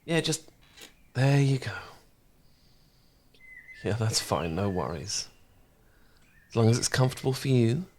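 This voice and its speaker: A man playing a gentle, caring housemate in an intimate ASMR romance roleplay, speaking softly and reassuringly. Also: mid-range voice, sing-song, slight background noise. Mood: gentle concern. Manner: soft, reassuring, unhurried conversational delivery. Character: gentle, caring male housemate, shyly fond and easygoing.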